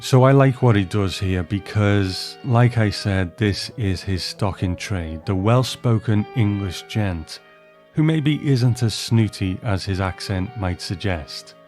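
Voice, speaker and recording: A man with a low voice presents scripted commentary on old screen drama. He speaks as a host, chatty and measured, like someone telling a story he has prepared. Soft music plays behind him. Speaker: podcast host. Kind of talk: scripted film and television commentary.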